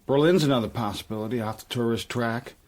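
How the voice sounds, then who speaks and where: An actor playing a smooth, pragmatic, protective man in a radio drama, speaking in a controlled, matter-of-fact way. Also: medium pitch, fast, a little mellow, lightly acted. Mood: firm, practical.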